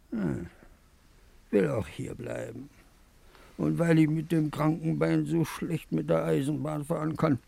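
An actor playing a frail, pleading old man in a 1950s radio play, halting, wistful and coaxing. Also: fairly high pitch, creaky, mellow, dry recording, heavily acted. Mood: wistful, stubborn. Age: older.

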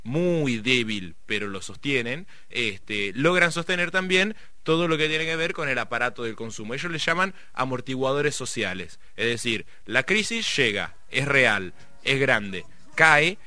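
A man's voice: medium pitch, lively intonation, a little slow, sharp tone, no echo. A middle-aged man with a Rioplatense Argentine accent explaining economics in casual conversation, rambling with many fillers and restarts. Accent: Rioplatense Spanish.

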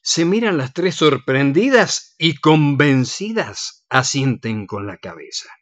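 Lady narrating a radio play, reads the stage action slowly, dry sound. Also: low-pitched, big pitch swings, no echo.

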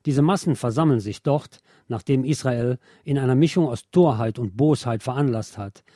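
A man's voice: medium pitch, normal speed, dark tone, no room sound, studio quality. A man presenting on radio, reading a scripted commentary in a sober, formal, articulate manner.

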